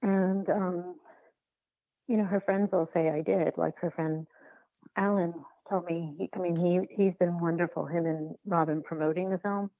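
A woman filmmaker answering interview questions in a halting, reflective way, often circling back to rephrase, over a phone-like line. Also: lowish voice, fairly even intonation, no room sound. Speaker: documentary filmmaker being interviewed.

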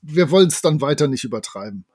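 A man's voice: a little high, animated, a little fast, a little mellow, breathy edge, no echo, studio quality. A man co-hosting a podcast, talking in a wordy, jocular way with colloquial asides. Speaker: podcast co-host. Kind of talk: chatty podcast narration.

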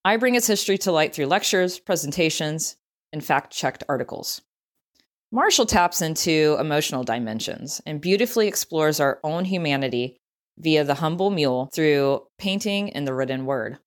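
A woman's voice: low voice, lively intonation, moderate pace. A woman with a Southern US accent reading a scripted travel essay as narration. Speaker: writer, tour guide and historian reading own essay.

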